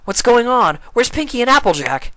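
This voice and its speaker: A storyteller voicing an excitable, touchy young male dragon turned pony, boyish and exclamatory. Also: mid-range voice, moderate pace, no room sound, pitched above the performer's own voice. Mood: excited.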